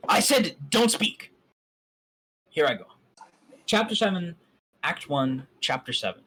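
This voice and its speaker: A man's voice: a little high, big pitch swings, slow, pushed and bright, slightly rough. A man reading a fan story aloud on a voice call and breaking off for sarcastic, mocking asides. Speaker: fan fiction reader.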